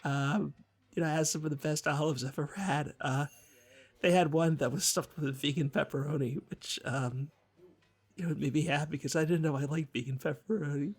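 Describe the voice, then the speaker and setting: Guy doing an awkward nervous community radio cohost, husky voice, halting n rambly, super apologetic, improv comedy. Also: on the high side, fairly even intonation. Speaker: radio show co-host.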